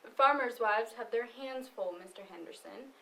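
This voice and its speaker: Set back from the microphone with faint noise around it, this is a defensive farm wife played by a woman in a stage play. Her voice sits a bit high, and she is blunt, dry and folksy. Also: moderate pace, vocal fry, pushed and bright. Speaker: stage actor. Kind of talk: amateur stage play dialogue. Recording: distant microphone, noticeable room noise.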